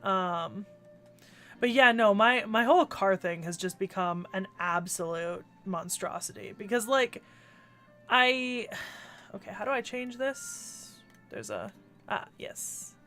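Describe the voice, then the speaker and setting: A woman's voice: medium pitch, expressive, slow pace, fairly bright tone, soft background music. A woman streaming a video game, chatting casually and rambling with lots of restarts and the odd swear, stuffy and congested from a cold. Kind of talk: casual livestream chat while gaming.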